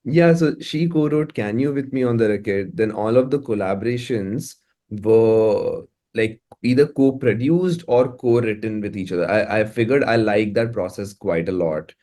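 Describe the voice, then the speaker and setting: A man's voice: fairly low pitch, moderate pace, mellow. A man with an Indian English accent, talking casually as an interviewed musician, often repeating words as he thinks.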